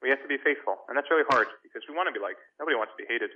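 Earnest New pastor on a muffled line. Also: male voice, mid-range voice, expressive, slight background noise. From Brooklyn, New York. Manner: earnest, halting, repeats words when starting. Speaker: pastor and radio guest. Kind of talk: earnest radio interview answers.

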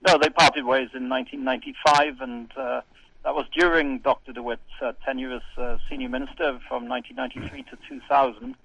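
A man from Wales, a pastor answering in a radio interview, hesitant with many pauses. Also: medium pitch, expressive, creaky, husky, no echo, highs cut off. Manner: hesitant, frequent uhs, trailing off softly. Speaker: pastor and guest.

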